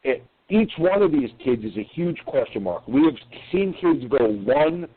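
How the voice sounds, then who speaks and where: Excitable New man on a tinny line. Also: mid-range voice, moderate pace, breathy edge. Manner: animated, swears casually, stumbles and repeats words. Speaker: podcast co-host. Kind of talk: casual sports call-in chat.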